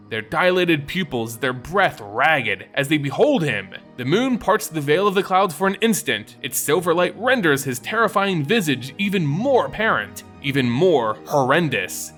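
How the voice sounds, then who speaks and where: A man's voice: a little high, fairly bright tone. A man hosting and narrating fantasy lore in a theatrical, dramatic reading style, as a storyteller. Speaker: channel host and narrator. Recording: music behind the voice. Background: music.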